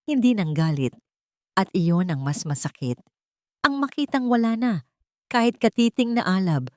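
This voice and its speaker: A woman with a Filipino accent reading a dramatic first-person story in a measured, solemn and emotive way. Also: fairly low pitch, a little mellow, no echo, clean studio sound. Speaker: audiobook narrator.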